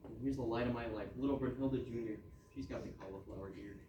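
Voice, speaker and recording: A man playing an apologetic, rambling palace guard in a comic stage reading, with a deadpan manner. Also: medium pitch, fast talker, muffled recording, close to an everyday voice. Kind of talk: comic stage readers theatre. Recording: echoey room, far mic, background noise.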